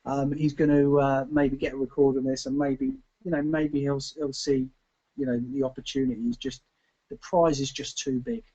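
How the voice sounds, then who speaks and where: Dull lo-fi call audio, emphatic man pitching. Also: fairly even intonation, mellow. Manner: repetitive, emphatic, halting phrasing. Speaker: webinar co-presenter. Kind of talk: informal testimonial sales pitch. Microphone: online webinar call.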